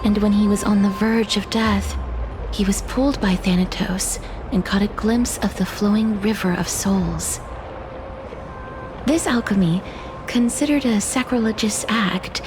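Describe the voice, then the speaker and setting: A woman playing a gentle, earnest young adventurer in a video game, explaining things in a soft, solemn, thoughtful way. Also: mid-range voice, a little slow, noisy background, close to an everyday voice. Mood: solemn, pensive. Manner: soft, solemn, breathy sighs. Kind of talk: video game dialogue, expository. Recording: music behind the voice.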